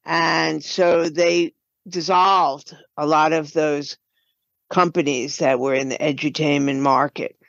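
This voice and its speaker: An older woman answers in an interview at a very slow, halting pace. Her voice is on the low side for a woman. The recording is a bit dull and lo-fi. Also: fairly even intonation, vocal fry, no room sound. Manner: halting, deliberate. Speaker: guest, game writer and producer. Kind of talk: conversational interview. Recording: slightly dull, lo-fi sound.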